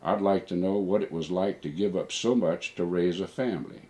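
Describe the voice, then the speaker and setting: A man's voice: low voice, normal speed, warm tone. An older man, a father, reading a personal letter aloud and reflecting on it.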